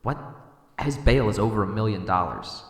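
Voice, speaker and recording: A man's voice: fairly low pitch, expressive, normal speed. A man playing a plain-spoken, wry police officer in an audio drama, recorded in an echoey room. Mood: wry, incredulous. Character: matter-of-fact police officer guarding a prisoner. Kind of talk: cinematic audio roleplay acting.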